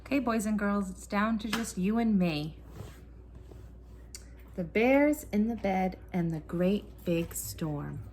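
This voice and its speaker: A woman's voice: medium pitch, animated, slow pace, darkish tone. A woman in her thirties reading a children's picture book aloud at home, with dramatic emphasis on the sound words. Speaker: mother reading a bedtime story. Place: room at home, indoors. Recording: noisy room, home recording.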